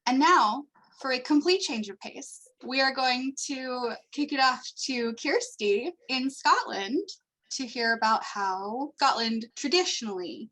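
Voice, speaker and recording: A woman hosts an online meeting, thanking and introducing speakers. Her voice is high and bright, with a crisp forward edge, heard over a video call. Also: lively intonation, unhurried, low-quality recording. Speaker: host of online fan club meeting. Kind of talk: friendly hosting and handovers.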